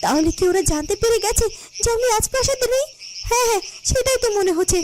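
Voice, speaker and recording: A woman's voice: very high-pitched, expressive, fast talker, heavy background noise, pitched below the performer's own voice. A woman voicing a righteous shapeshifting snake woman in a fairy-tale cartoon, dramatic and emphatic. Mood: anxious.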